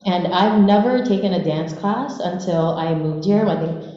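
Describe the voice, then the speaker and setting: Echoey, mellow-voiced young Filipino woman. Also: lowish voice, normal speed. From Philippines. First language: Bisaya. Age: 20s. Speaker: panelist, dancer. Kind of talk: casual personal storytelling at a panel. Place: large echoey room at a live panel event. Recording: very echoey hall, slightly muffled.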